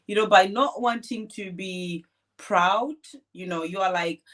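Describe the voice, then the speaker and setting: A woman's voice: medium pitch, a little slow, fairly bright tone. A woman co-hosting a conversation, talking reflectively about faith and self-worth with frequent fillers. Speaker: podcast co-host. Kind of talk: conversational faith-based advice talk.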